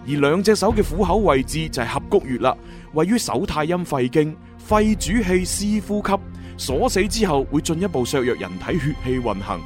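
An actor with a Hong Kong Cantonese accent playing a cocky, boastful young agent from the future in a radio drama. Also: male voice, fairly high pitch, normal speed, close to an everyday voice. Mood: boastful, excited. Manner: animated, boastful storytelling. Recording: music behind the voice. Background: background music.

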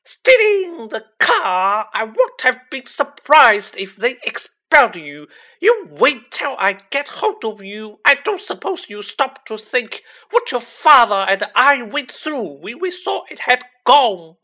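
A narrator voicing a furious middle-aged mother, yelling a shrill, indignant scolding in a storybook. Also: sing-song, takes it slowly, full character voice.

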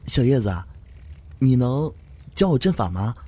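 A man's voice: medium pitch, very animated, unhurried, mellow. An actor playing a cheerful, easygoing young man from a clan who chats playfully in a fan audio drama. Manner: chatty, playful.

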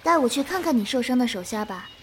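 A woman playing a gentle, patient young martial arts disciple in a Chinese period audio drama, soft-spoken and earnest. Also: on the high side, expressive, fast, background noise, close to an everyday voice. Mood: calm, concerned.

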